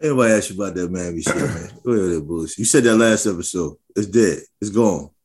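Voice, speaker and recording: A man's voice: deep voice, quick pace, a little mellow. A man co-hosting a casual podcast, teasing his friend bluntly with crude banter. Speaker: podcast co-host. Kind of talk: casual chat.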